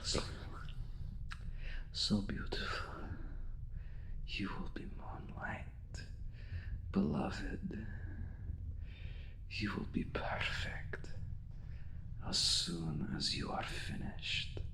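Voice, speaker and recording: A man playing a seductive, predatory vampire in a whispered ASMR roleplay, slow and savoring, with a breathy, creaky voice. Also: deep voice, very animated, pushed and bright, full character voice. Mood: possessive, tender. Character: seductive, predatory male vampire, possessive and hungry.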